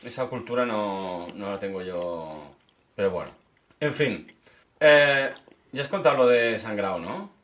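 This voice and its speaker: Catalan-accented male co-host, bright tone, jokey casual chat. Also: fairly low pitch, expressive, measured pace. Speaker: podcast co-host. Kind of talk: casual banter.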